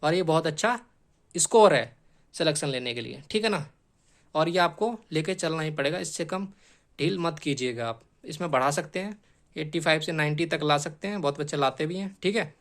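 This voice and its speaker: A man's voice: a little high, moderate pace, fairly bright tone. A man explaining exam strategy like a coaching teacher, repeating key points emphatically and often checking in with short tag questions.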